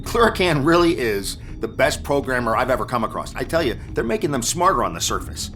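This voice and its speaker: An actor playing a gruff male engineering chief in a sci-fi audio drama, speaking in blunt, salty, emphatic lines. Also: mid-range voice, sing-song, brisk, fairly bright tone, soft background music. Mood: relieved.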